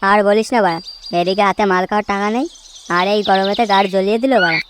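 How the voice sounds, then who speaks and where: A woman's voice: mid-range voice, rapid-fire, slight background noise, close to an everyday voice. A woman voicing a hot-tempered young male village drunkard in a comedy cartoon, cursing and blustering. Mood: irritated, angry.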